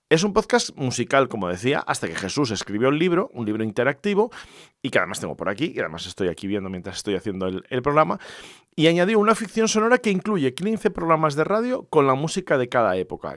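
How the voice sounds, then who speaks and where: A man's voice: medium pitch, no room sound, clean studio sound. A man from hosting a podcast alone in an informal, conversational, explanatory way. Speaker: podcast host. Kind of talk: conversational solo podcast monologue.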